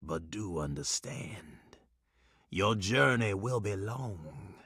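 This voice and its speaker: An actor playing an eccentric, scheming old mystic in a video game, speaking in a theatrical, preachy way. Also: male voice, low-pitched, animated, slow pace, a bit bright, husky, no room sound, studio quality, heavily acted. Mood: solemn, insinuating. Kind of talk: video game character acting.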